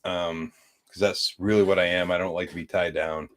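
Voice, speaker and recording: A man's voice: low-pitched, fairly even intonation, moderate pace. A man from the United States chatting as a podcast guest, easygoing and rambling as he tells stories. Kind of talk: casual chat.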